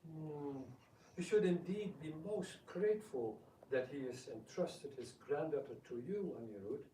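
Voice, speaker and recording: A man's voice: a little high, lively intonation, a little slow, dark tone. A man playing a gracious, thoughtful grandfather king, mild and musing, in a theatrical reading. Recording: echoey room, distant mic, background noise.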